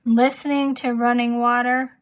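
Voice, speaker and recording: A woman giving an intuitive tarot reading, calm and soft-spoken, her voice slightly hoarse from talking. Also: a little high, moderate pace. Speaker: tarot reader.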